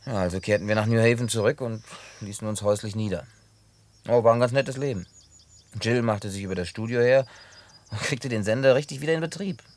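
An actor dubbing a man who tells a long story in a laid-back way with gruff humor. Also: on the low side, darkish tone, natural voice. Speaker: lead character in a dubbed film. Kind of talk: dubbed film acting.

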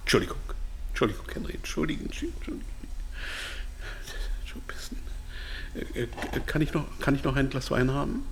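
An actor playing a captive drug company executive, hesitant, formal and defensive, in a German audio drama. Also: male voice, mid-range voice, normal speed, long pauses, whispered, lightly acted. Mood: meek, pleading. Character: captive male pharmaceutical executive, evasive, anxious, self-justifying.

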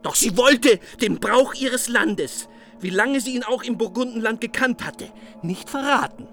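A man's voice: very high-pitched, measured pace, bright, forceful tone, faint music behind. A man narrating a medieval epic in a solemn, scripted storytelling style.

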